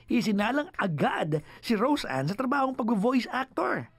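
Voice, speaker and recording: A woman's voice: on the high side, sing-song, moderate pace, creaky, music in the background. A woman playing a teasing, gossipy narrator in a comedy film, telling it dramatically.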